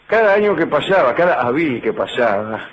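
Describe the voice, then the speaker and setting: A man's voice: medium pitch, big pitch swings, moderate pace, poor audio. Wry Rioplatense man lecturing on radio. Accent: Rioplatense Spanish. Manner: wry, digressive storyteller with dry asides. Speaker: radio host.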